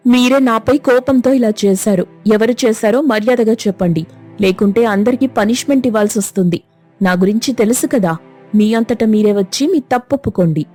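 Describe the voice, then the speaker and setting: A woman's voice: a little high, normal speed, warm tone, soft background music, pitched above the performer's own voice, lightly acted. A woman voicing a strict, vindictive middle-aged schoolteacher who scolds and accuses her students. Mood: stern. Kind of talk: cartoon voice acting.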